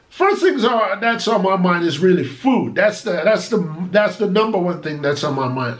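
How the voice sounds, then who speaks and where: A Jamaican man in his forties, a vlogger chatting casually to camera from a small home office, animated and gesturing as he talks. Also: high-pitched. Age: 40s.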